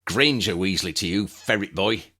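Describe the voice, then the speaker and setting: A man playing a gruff, impatient young wizard lawman. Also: low-pitched, normal speed, sharp tone. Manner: curt, gruff, demanding.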